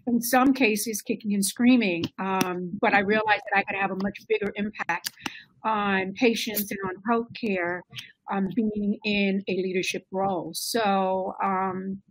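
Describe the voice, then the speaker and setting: A woman's voice: mid-range voice, slight background noise, poor audio. Reflective woman, healthcare leader on a call. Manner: reflective with frequent ums. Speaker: nurse turned healthcare leader. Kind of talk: panel self-introduction. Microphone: webcam on video call.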